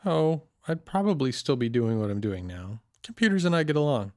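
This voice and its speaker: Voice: mid-range voice, sing-song, brisk, a little mellow, no room sound. A performer playing a cynical, jaded computer hacker who lives off-grid, talking in a dry, blunt, casual way in an audio drama. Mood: relaxed. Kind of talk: naturalistic audio drama dialogue.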